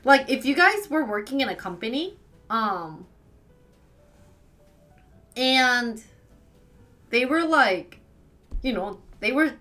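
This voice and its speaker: Chatty Wisconsin woman, lively pitch. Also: soft background music. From United States. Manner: chatty, asks quick questions back. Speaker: live streamer. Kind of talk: casual chat answering viewers.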